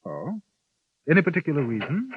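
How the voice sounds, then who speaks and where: An actor playing a polite, dryly understated English gentleman reporter in a 1950s radio drama, with an English accent. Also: a little high, huge pitch swings, a little fast, husky, full character voice. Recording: dull lo-fi 1950s radio recording with music.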